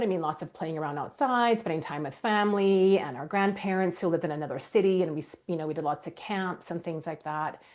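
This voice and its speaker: A middle-aged woman from Canada, whose first language is Ukrainian, earnestly and reflectively telling her life story as a podcast guest. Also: fairly low pitch. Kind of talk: candid personal storytelling.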